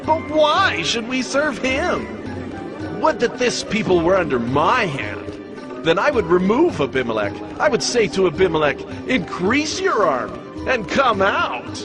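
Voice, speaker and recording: Gaal, brash high-voiced rebel upstart. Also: male voice, very animated, a little slow, over music. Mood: scornful boasting. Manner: boastful, taunting, declamatory. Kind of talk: dramatized scripture audio drama.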